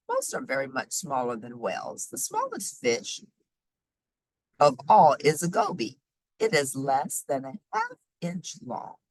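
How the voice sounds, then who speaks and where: Expressive woman reading aloud, swooping pitch. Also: husky, no room sound, poor audio. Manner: expressive, emphatic, teacherly explaining to children. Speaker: read-aloud host. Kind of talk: children's nonfiction book read-aloud with short asides.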